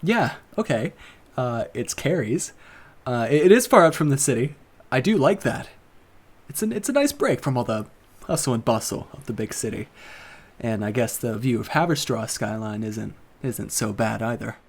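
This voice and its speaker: Man as a hesitant, animated property buyer. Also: mid-range voice, husky. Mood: hesitant, agreeable. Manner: halting, casual, hesitant. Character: hesitant, affable male property buyer seeking seclusion. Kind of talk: naturalistic audio drama dialogue.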